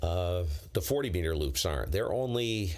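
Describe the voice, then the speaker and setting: A man's voice: sits low, sing-song, normal speed. An American man chatting casually on a ham radio live stream, in a rambling, blunt and slangy way. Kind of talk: casual unscripted chat with viewers, on-air call signs.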